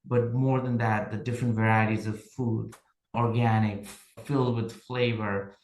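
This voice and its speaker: A man's voice: on the low side, level tone, normal speed, a little mellow. Guy chatting on a podcast, reflective, tells stories, kinda echoey room. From Nepal. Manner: reflective, tells workplace stories, often checks in with "right?". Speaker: podcast guest, HR leader. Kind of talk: casual conversation.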